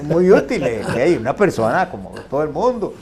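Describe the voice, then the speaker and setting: An older man, chatting on a panel with many pauses and restarts. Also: a little high, big pitch swings, a crowd in the background. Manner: hesitant, many restarts and fillers. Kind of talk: casual chat.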